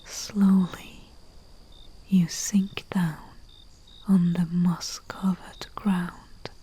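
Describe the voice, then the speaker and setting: Very slow, whispering herbalist played by a woman. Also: on the low side, little pitch movement. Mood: hushed, soothing. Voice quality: breathy, whispery soft voice. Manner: slow, hushed, soothing, with long pauses. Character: humble female herbalist, soothing and deferential servant to nobility. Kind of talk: soft-spoken ASMR roleplay, guided relaxation. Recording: faint hiss with chirping night crickets. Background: crickets and night insect ambience.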